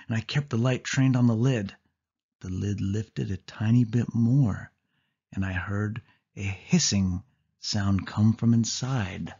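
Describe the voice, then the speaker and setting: A man narrating a suspense story in the first person, with expressive, dramatic delivery. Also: fairly low pitch, muffled recording. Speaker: audiobook narrator.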